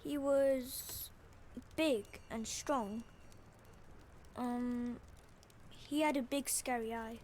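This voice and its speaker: A woman's voice: fairly high pitch, steady tone, a little mellow, a little hiss or hum. A woman playing an earnest, worried child who speaks hesitant, in a video game. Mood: uneasy. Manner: hesitant, halting. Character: worried young child, earnest, minding a shrine alone. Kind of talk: scripted game dialogue.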